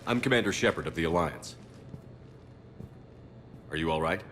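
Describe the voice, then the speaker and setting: An actor playing a resolute, no-nonsense military commander, direct and terse. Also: male voice, low voice, lively intonation, moderate pace, a bit bright, slight background noise. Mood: firm. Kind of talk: video game dialogue.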